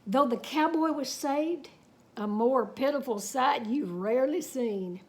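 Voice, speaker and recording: A woman reading a children's story aloud in an expressive, folksy, dramatic way with chatty asides. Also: sits high, a little slow.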